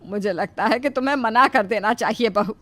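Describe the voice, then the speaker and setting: A woman's voice: a little high, fairly quick, a bit bright, no room sound. A woman voicing an anxious, admonishing middle-aged mother-in-law in a cartoon moral story. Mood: concerned. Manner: fretful, admonishing.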